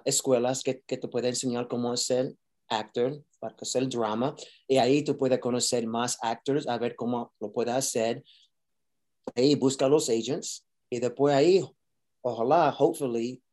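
A man's voice: medium pitch, warm tone. A man, an actor, answering interview questions casually, mixing Spanish and English with many fillers and restarts.

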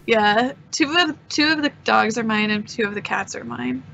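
Bright, creaky-voiced woman over background noise. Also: fairly high pitch, normal speed, dull audio. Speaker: podcast guest. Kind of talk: casual chat.

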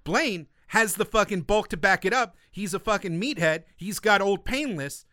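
Bright, animated man hosting a podcast. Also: sits high, moderate pace, no echo, clean studio sound. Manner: animated storyteller, swears freely. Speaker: podcast co-host. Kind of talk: casual film podcast chat.

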